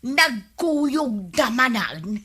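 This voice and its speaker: A woman's voice: fairly high pitch, very animated, drawn-out words, bright, forceful tone, breathy edge, heavily acted. A woman playing an irritable, complaining village wife in a comedy radio drama, with an Ilocano accent. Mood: suspicious.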